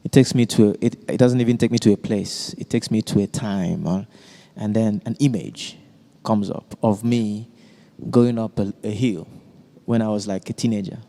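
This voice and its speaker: A man leading a thoughtful group talk in a museum gallery, his voice somewhat low and mellow. He hesitates often and restarts sentences as he searches for the right words. His voice rings with the long reverb of a large hall. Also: steady tone, moderate pace. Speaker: discussion host. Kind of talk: reflective group conversation.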